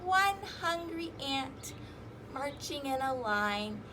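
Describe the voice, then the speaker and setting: A woman hosting a children's storytime, reading rhymes and picture books aloud in an animated, sing-song, exaggerated way. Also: high-pitched, slow pace, noisy background. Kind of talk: interactive children's read-aloud with rhymes and fingerplay.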